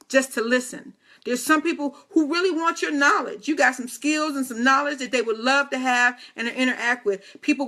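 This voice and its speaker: A woman's voice: sits high, pushed and bright. A woman in her fifties hosting a casual live video talk, speaking straight to viewers with playful, teasing asides. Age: 50s. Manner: playful, teasing asides and chatty direct address.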